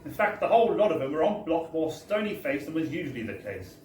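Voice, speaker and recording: A man's voice: on the high side, big pitch swings, quick pace, darkish tone. A British man reading a wry first-person comic story aloud at a live event, in a chatty, theatrical storytelling manner. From Britain. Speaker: reader at a book launch. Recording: echoey live bar, distant mic, faint noise.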